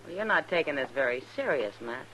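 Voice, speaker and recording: A woman's voice: low-pitched, sing-song, a little fast, background noise. An actress playing a frank, concerned frontier woman in a 1950s radio western, talking in a familiar, conversational way. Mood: concerned, teasing.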